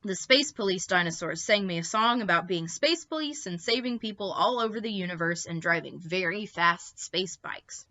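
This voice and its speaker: A woman reading a children's story aloud with expressive, emphatic delivery and short asides to the listeners. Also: lowish voice, moderate pace, few pauses, muffled recording. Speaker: librarian reading stories to children.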